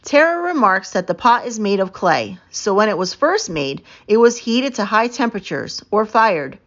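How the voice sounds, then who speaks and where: Woman, children's read-aloud narrator, very lively intonation, emphatic key words, slightly dull lo-fi recording. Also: medium pitch, moderate pace. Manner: animated, emphatic storytelling with stretched key words.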